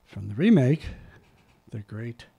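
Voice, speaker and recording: A man hosting a community radio show, reading poems aloud with theatrical swells and slipping into quiet, murmured asides between them. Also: medium pitch, very animated, slow pace, creaky, breathy edge. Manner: theatrical, swells loud on key lines, then drops to quiet murmured asides. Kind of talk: dramatic poetry reading with chatty asides. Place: small community radio studio. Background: soft music underneath.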